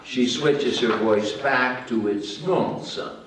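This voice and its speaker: A man's voice: mid-range voice, slow pace, slight background noise. A man narrating the stage directions of a screenplay at a table read. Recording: roomy, distant mic.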